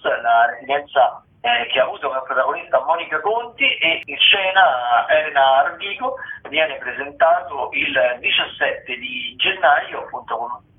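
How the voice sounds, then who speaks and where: A man with a Roman accent hosting a radio theatre show, running through upcoming plays in a chatty way with many hesitations and restarts. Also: on the high side. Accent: Roman Italian. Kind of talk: reading theatre listings on radio. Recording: phone-like roomy radio sound.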